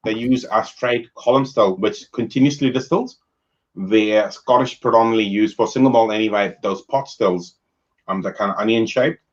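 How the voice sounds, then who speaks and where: A man hosting a casual video-call chat about whisky, relaxed and chatty. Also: lowish voice, moderate pace, muffled recording. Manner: relaxed, chatty, uses fillers. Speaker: host.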